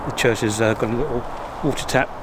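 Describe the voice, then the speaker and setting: A man's voice: medium pitch, steady tone. A middle-aged man in his fifties, chatting casually and musing aloud while walking outdoors, filmed on a handheld camera at arm's length. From England. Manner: chatty, rambling, pauses to muse. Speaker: vlogger. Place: outdoors by a country churchyard near a motorway. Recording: loud traffic noise behind.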